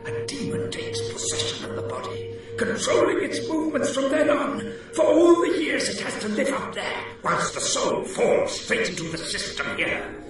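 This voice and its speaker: A man playing a bitter, pleading damned soul frozen in ice, in an audio drama, with a strained breathy voice breaking into whispers. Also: very high-pitched, big pitch swings, normal speed. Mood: anguished pleading. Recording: echoey, distant, over music.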